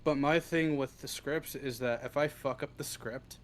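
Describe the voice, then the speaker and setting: A man's voice: medium pitch, steady tone, moderate pace, a little hiss or hum. A man chatting casually as an interview guest, in a joking, self-deprecating way. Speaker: interview guest, script writer.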